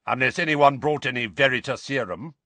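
A man voicing a grizzled old male wizard veteran, gruff, suspicious and irritable, with a growling, barking delivery. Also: medium pitch, fairly quick, bright, forceful tone, no echo, pitched above the performer's own voice. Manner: barking, commanding.

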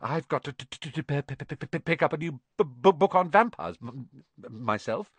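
A man voicing a nervous young professor with a heavy stammer and halting, anxious delivery in an audiobook. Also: medium pitch, big pitch swings, no echo. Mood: nervous, hesitant. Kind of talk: audiobook character acting.

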